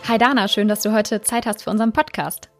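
A woman's voice: medium pitch, fast talker, over loud music. A woman hosting an interview podcast, introducing the show and putting questions to a guest. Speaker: podcast host and editor.

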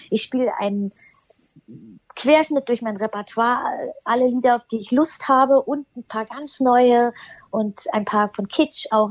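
Hesitant husky-voiced woman. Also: a little high, dry recording, muffled recording. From eastern Germany. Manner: thoughtful, hesitant, many fillers and restarts. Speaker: interview guest, singer. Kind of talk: casual interview chat.

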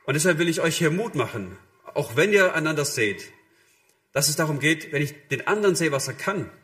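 A man's voice: fairly high pitch, animated, fairly quick, a bit bright. A man preaching a sermon in a conversational, illustrative way, addressing listeners directly and voicing short imagined quotes.

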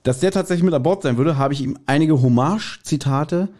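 A man's voice: a little high, fairly quick, darkish tone. A man hosting a podcast, casually talking through background details of audio dramas. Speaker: podcast host.

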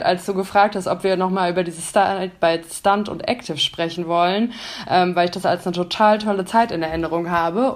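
A woman in her thirties, a business psychologist and coach, talking enthusiastically as a podcast guest. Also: mid-range voice. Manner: enthusiastic, fluent, frequent fillers. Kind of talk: casual podcast interview.

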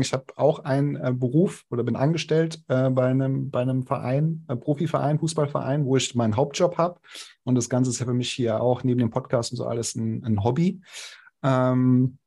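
A man's voice: medium pitch, darkish tone. A young adult man, a podcast guest, speaking casually with many hesitations and restarts. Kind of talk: casual interview talk.

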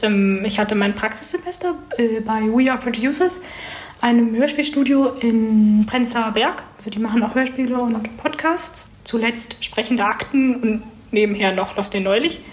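High-voiced young woman in interview. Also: normal speed. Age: young adult. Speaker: student audio drama writer being interviewed. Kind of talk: casual interview.

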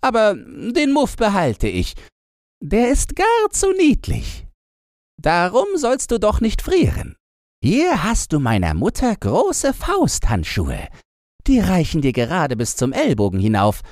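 A very high-pitched little robber girl, played by a man, rough and commanding yet fond. She orders people about bluntly in a storybook tale, her voice swinging up and down. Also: huge pitch swings, slow pace, darkish tone, dry recording, studio quality, exaggerated voice. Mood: bossy, teasing.

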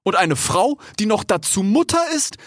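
A man's voice: high-pitched, sing-song, moderate pace, no room sound, clean studio sound, pitched above the performer's own voice. A man voicing an assertive, indignant female giraffe in a storybook. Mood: indignant, persuasive.

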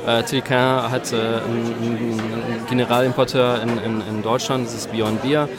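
A man's voice: mid-range voice, flat delivery, moderate pace, over loud music. A man from eastern Belgium, guest in a conversational interview, talking hesitantly with many fillers and restarts. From Belgium (Eupen). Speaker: guest, beer shop owner.

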